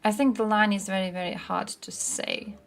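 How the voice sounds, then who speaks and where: A woman hosts a casual livestream, talking in a mid-pitched voice with plenty of vocal fry. Her delivery is informal and sweary, as if chatting directly with viewers. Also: fairly even intonation, moderate pace. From Croatia. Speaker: livestream host. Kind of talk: casual livestream chat, reacting to chat and posts.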